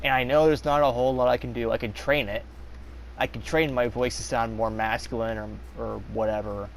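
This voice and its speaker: A young man vlogging in a casual, confessional monologue, rambling with many fillers and restarts, his voice slightly raspy. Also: medium pitch, steady tone, brisk, a little hiss or hum, poor audio. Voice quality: slightly raspy when talking long.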